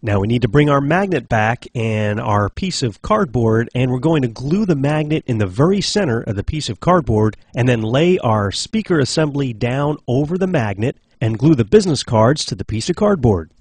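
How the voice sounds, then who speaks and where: A man's voice: medium pitch, animated, moderate pace, few pauses, a little mellow, no room sound. A man hosting a do-it-yourself project show, narrating scripted how-to instructions step by step in an instructional manner. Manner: clear step-by-step instructional delivery. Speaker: host of a DIY project show.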